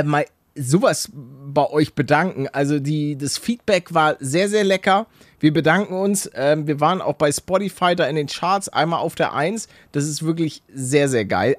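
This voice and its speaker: Lebhafter Mann mit hoher Stimme im Podcast. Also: male voice, on the high side, dry recording. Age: 40s. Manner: laughing, hesitant restarts, joking. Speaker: podcast co-host. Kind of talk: casual podcast banter.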